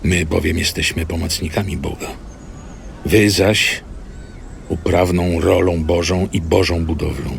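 A man reading a Bible letter in a slow, solemn, emphatic scripted way. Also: low voice, steady tone, creaky, a little hiss or hum.

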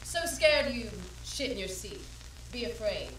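Bright, spirited 1920s radio actress played by a woman. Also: fairly high pitch, expressive, measured pace, a little hiss or hum. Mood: excited. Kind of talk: period stage drama dialogue. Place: dark small performance stage. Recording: very echoey, slightly distant stage recording.

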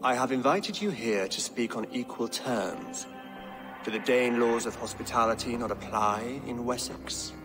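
A man's voice: mid-range voice, unhurried, over music, lightly acted. A man playing a shrewd, pious Saxon king in a video game, speaking formally and with great deliberation. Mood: guarded, grave. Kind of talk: video game cutscene acting.

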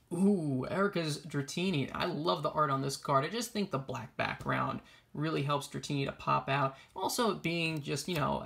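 A man's voice: on the high side, creaky, a bit bright. A young man giving casual, chatty show-and-tell commentary as an enthusiastic collector hosting a video. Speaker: trading card collector and video host. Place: indoors, small room at a table.

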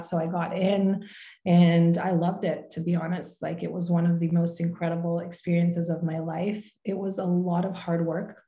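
A young Croatian woman speaks English with a soft, mellow tone that stays fairly level. She tells her story in a relaxed, open way, full of "like" and "um". Her webcam picks her up in a roomy, echoing room. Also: fairly low pitch. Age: young adult. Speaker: podcast guest. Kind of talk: casual interview chat. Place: home room, video call. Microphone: video call webcam.

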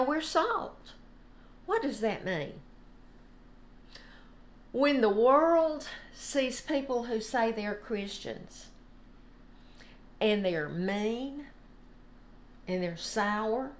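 An older woman giving an informal, unhurried devotional talk. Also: fairly high pitch. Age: older, about 70s. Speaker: devotional host. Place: home kitchen, indoors.

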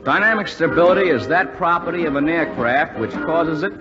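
An actor playing an earnest, self-doubting young army pilot trainee in 1940s radio drama style. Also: male voice, a little high, expressive, brisk, music in the background, natural voice. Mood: reciting. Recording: dull 1940s radio broadcast.

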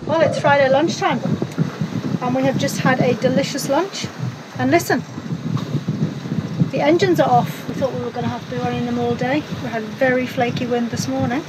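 Chatty woman with loud wind behind. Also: high-pitched. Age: middle-aged. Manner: chatty, upbeat and cheerful. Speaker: sailing vlogger. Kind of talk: casual vlog narration to camera. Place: on a sailing catamaran at sea. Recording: loud wind and engine noise.

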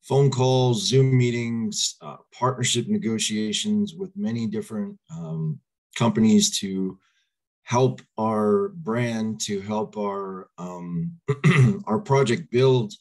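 Man, 40s, low voice, a bit hoarse, upbeat webinar host on a webcam. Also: slow pace, darkish tone. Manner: upbeat, coaxes audience to reply in chat. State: slightly hoarse. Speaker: crypto presenter. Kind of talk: webinar presentation. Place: small home room, indoors. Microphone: webcam on video call.

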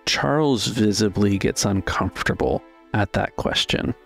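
A man's voice: lowish voice, a little slow, creaky, soft background music. A man running a tabletop roleplaying game as game master, narrating scenes and explaining the rules.

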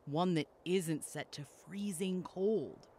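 An actor playing a detective's easygoing, teasing, grumbly best friend in an audio drama. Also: male voice, high-pitched, takes it slowly, a little mellow, slight background noise.

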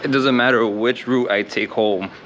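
A man's voice: medium pitch, steady tone, moderate pace. A man in his thirties, from Venezuela and raised in the USA, chatting upbeat to viewers as he films himself at arm's length on city streets at night. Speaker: travel vlogger. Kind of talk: casual vlog narration. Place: outdoors on busy city streets at night, then a kebab shop. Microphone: handheld camera at arm's length. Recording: loud crowd noise around.

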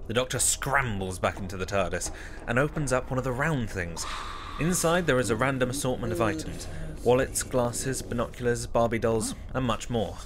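A man's voice: medium pitch, normal speed, background noise. A man giving scripted narration for an audio drama, describing the action. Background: music.

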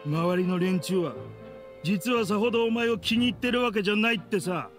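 An actor playing a bitter, vengeful middle-aged man in an anime game, speaking with rough, contemptuous, theatrical accusation. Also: high voice, brisk, music in the background, natural voice. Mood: cruel taunting. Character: bitter, vengeful man in a grudge-driven confrontation.

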